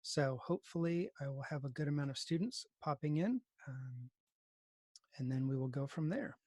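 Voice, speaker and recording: A man's voice: medium pitch, normal speed, warm tone, no room sound. A man teaching conversationally on a video call, organizing his students with polite encouragement. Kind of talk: conversational online class instruction.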